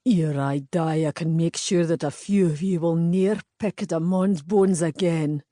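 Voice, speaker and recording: A woman reading aloud plays a wounded Scottish knight, low and dark-toned, wary and snarling. The accent is Scottish, the manner suspicious and curt, and the sound is dry and close. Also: unhurried, no room sound, close to an everyday voice. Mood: defiant. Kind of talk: audiobook character voice.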